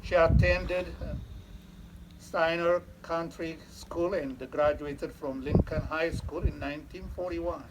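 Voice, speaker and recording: Man, slow deliberate reading with pauses, heavy background noise. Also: on the high side, steady tone, recorded from a distance. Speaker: family member reading an obituary. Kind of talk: reading aloud.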